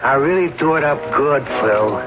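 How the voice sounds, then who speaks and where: An actor in a radio drama playing a boastful, stubborn aging escape artist who talks in brash slang. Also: male voice, medium pitch, expressive, moderate pace, lightly acted. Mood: confident. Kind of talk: old-time radio drama acting. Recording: dull radio recording, loud music.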